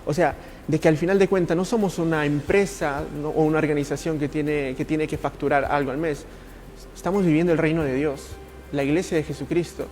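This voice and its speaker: A man from Italy speaking as a ministry coach in a podcast interview, earnest and passionate, with halting, repetitive phrasing. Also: a little high, fairly even intonation, a little mellow, faint music behind.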